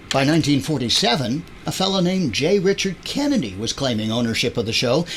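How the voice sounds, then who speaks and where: A man's voice: medium pitch, a bit bright, slight background noise. A man hosting an audio drama introduction in a chatty, playful, wry conversational style.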